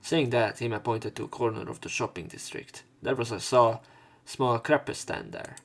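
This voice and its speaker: A man's voice: medium pitch, expressive, moderate pace, soft background music. A man reading a visual novel aloud as a let's play host, adding informal, sweary commentary.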